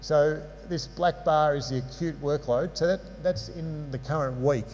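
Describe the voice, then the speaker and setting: A man's voice: a little high, measured pace, darkish tone. A man giving a conference lecture on sports medicine with slides, explaining concepts patiently and adding dry asides. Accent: non-local English accent. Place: lecture hall. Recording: hall reverb with mains hum.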